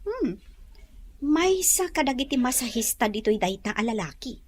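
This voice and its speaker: A woman acting a playful, teasing young best friend in an Ilocano radio-style drama, giggly and expressive, with an Ilocano Filipino accent. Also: fairly high pitch, normal speed. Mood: relaxed, teasing.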